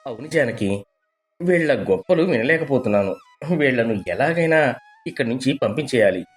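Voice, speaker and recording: A man's voice: mid-range voice, expressive, normal speed, soft background music, close to an everyday voice. Man acting as a theatrical, pleading young husband. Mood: fed up, scheming. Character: scheming young husband faking tearful, desperate ruin. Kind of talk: dramatized moral story acting.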